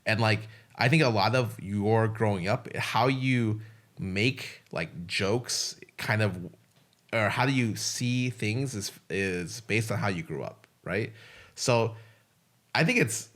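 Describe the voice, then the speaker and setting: A man's voice: lowish voice, slow pace, a bit bright. A man co-hosting a podcast, chatting casually and frankly and swearing freely. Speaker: podcast co-host.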